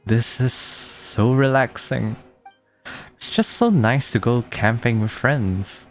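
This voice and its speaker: A man's voice: mid-range voice, takes it slowly. A man giving casual playthrough commentary as a video game streamer. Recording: game music behind the voice.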